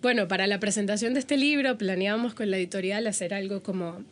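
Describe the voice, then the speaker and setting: A woman's voice: mid-range voice, fast talker. Venezuelan woman chatting as podcast guest. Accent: Venezuelan Spanish. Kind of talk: casual interview chat.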